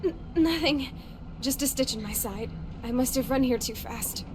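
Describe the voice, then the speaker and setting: A woman's voice: high-pitched, normal speed, background noise, lightly acted. A woman voicing a perky, caring young scientist in an anime game, playful and bossy. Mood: flustered. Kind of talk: dubbed anime game dialogue.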